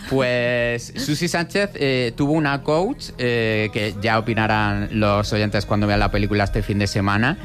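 Un hombre que comenta películas en un programa de radio, explicando con calma entre muletillas y preguntas retóricas. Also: male voice, lowish voice, unhurried, hardly any pauses. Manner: explanatory, with many fillers and tag questions. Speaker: radio film commentator. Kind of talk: casual radio chat about films.

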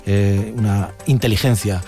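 A man hosting a midday radio show, brisk and conversational as he introduces topics and guests. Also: lowish voice, steady tone, mellow, soft background music. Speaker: radio host. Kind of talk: radio news magazine hosting. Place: radio studio.

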